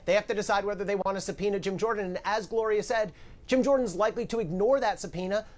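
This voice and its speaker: A male legal analyst with a notably high voice speaks on a live news panel. He lays out each point step by step and leans on key words. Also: fast talker. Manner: emphatic, explains step by step.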